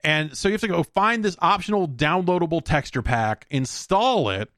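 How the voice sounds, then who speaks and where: A man's voice: on the high side, expressive, normal speed, fairly bright tone, dry recording, clean studio sound. A man hosting a podcast, talking casually and conversationally on his own about video games, rambling with wry asides and acted-out imagined exchanges. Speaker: podcast host. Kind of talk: casual solo video game podcast talk.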